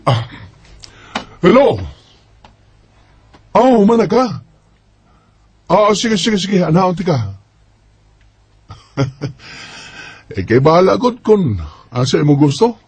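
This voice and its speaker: A man's voice: sits high, very animated, slow pace, long pauses, darkish tone, husky, full character voice. A man with a Cebuano Filipino accent playing a cocky, evasive young bachelor in an amateur radio drama. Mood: cheerful.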